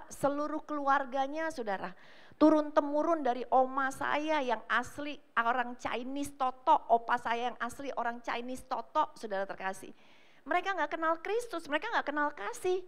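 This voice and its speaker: A woman pastor preaching a sermon to a church service, speaking emphatically to the congregation and swinging between loud declarations and soft asides. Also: sits high. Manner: emphatic preaching, often addressing listeners as brothers and sisters.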